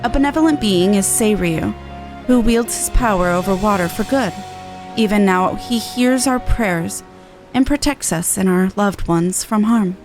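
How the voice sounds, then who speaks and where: A woman voicing a courteous, formal storyteller lady who recites old legends in a measured, archaic manner for a fantasy game. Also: mid-range voice, darkish tone, soft background music, close to an everyday voice. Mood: solemn, reverent.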